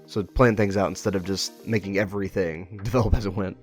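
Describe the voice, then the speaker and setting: A man's voice: deep voice, steady tone, brisk. A man hosting a casual interview, asking questions in an inquisitive, encouraging way. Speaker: interviewer, host. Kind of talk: casual interview about a web horror series. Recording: music playing behind. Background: music.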